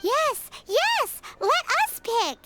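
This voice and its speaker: Very squeaky, childlike fairy sidekick. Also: female voice, sing-song, drawn-out words, over music, exaggerated voice. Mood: eager. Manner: refers to herself in third person, exclaiming. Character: tiny childlike female floating fairy companion, perky and nosy. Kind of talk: anime-style video game voice acting.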